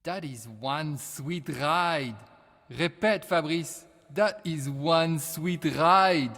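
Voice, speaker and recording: An actor playing a bossy, mischievous young French student with a French accent in a video game. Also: male voice, fairly high pitch, very slow, fairly bright tone, exaggerated voice. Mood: teasing. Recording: long echoing reverb.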